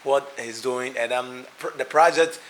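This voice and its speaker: A man hosting an interview, upbeat and brisk, prompting his guest with quick questions. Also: mid-range voice, fairly bright tone, a little hiss or hum, poor audio. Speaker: interview host.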